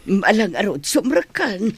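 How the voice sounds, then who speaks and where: Actress as a husky, worried older mother. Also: medium pitch, very animated, measured pace, heavily acted. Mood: fretful, caring. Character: worried, caring older mother fussing over her son. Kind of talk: Ilocano radio drama acting.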